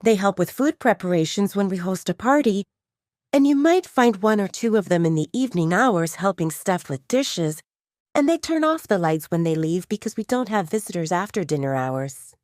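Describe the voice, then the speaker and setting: A woman voicing a stern, protective female werewolf second-in-command in an audio drama, firm and sharp-tongued. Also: mid-range voice, expressive, normal speed, dry recording, studio quality, lightly acted. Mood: reassuring. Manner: firm, sarcastic.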